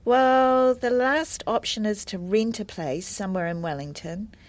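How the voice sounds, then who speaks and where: A woman acting a helpful, friendly adviser in a scripted listening-test dialogue, speaking in a measured, explanatory way. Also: mid-range voice, dry recording, close to an everyday voice. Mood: helpful, calm.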